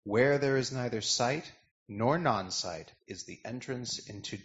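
A man playing a calm bodhisattva in an amateur dramatic scripture reading, measured and earnest. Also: mid-range voice. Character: calm male bodhisattva expounding wisdom. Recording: dull lo-fi recording.

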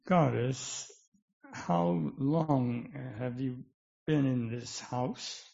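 A man reading the part of an earnest, puzzled Buddhist monk in a scripture drama, with halting, formal phrasing. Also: medium pitch, gravelly, a little mellow. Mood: earnest, questioning. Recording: dull, lo-fi recording.

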